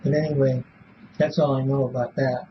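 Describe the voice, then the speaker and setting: Muffled, noisy recording of a halting older man. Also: medium pitch, level tone. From born in Belfast, Northern Ireland; raised in Illinois from age seven. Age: 70s. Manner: halting, repeats words, trails off. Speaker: interviewee in a police polygraph interview. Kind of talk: casual, rambling spoken answers. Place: small police interview room.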